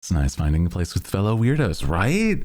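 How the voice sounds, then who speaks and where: A man's voice: low-pitched, huge pitch swings, brisk, a little mellow. A man hosting a livestream, chatting casually with viewers in a rambling, jokey, self-deprecating way. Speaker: VTuber livestream host. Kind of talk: casual chat with stream viewers.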